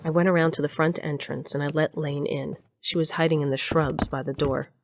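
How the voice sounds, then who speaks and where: A woman's voice: deep voice, steady tone, brisk, a little hiss or hum. An actress playing a wry, daring woman who narrates her own covert adventure in a conversational audio drama style. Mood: matter-of-fact narration. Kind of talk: audio drama acting with first-person narration.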